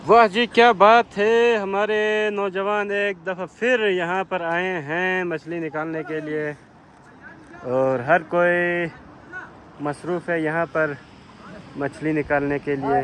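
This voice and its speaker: A man's voice: high voice, steady tone, slow pace, a bit bright, slight background noise. A man, vlogging outdoors by a river in an enthusiastic, chatty way, full of fillers. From Pakistan. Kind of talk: outdoor vlog narration.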